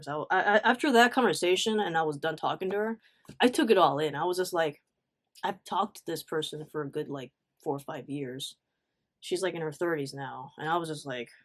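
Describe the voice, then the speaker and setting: A woman vlogger with a mid-pitched voice shares a personal story in casual, slangy speech. She is emphatic and expressive, slipping into reported dialogue as she goes. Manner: informal slangy talk with swearing. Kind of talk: casual confessional storytime chat.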